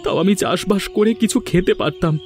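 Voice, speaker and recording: Man playing a high-pitched, aggrieved village husband. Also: brisk, darkish tone, faint music behind. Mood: regretful. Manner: dramatic, lamenting. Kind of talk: Bengali cartoon voice acting.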